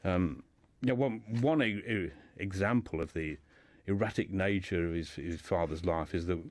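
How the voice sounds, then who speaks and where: A man's voice: deep voice, moderate pace. A man speaking as a guest in a radio discussion, telling a life story informally and often repeating words as he builds his sentences.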